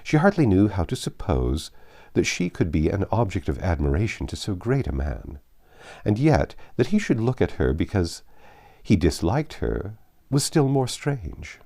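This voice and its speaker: A man narrates literary fiction in a deep voice. His tone is mellow, and his delivery swings widely in pitch, with the shape of scripted storytelling. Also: sing-song, a little slow. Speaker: audiobook narrator.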